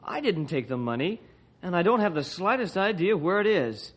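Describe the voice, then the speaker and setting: A man's voice: high voice, sing-song, moderate pace. A man playing an earnest, downcast young man accused of wrongdoing in an old-time radio western, plain-spoken and pleading.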